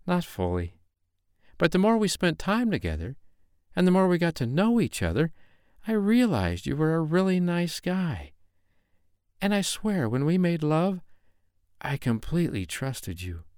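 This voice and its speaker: A man voicing a frightened young woman who pleads softly through tears in an audiobook. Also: sing-song, a little slow, long pauses, clean tone, no echo, studio quality. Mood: tearful pleading. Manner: soft, pleading, voice raised high.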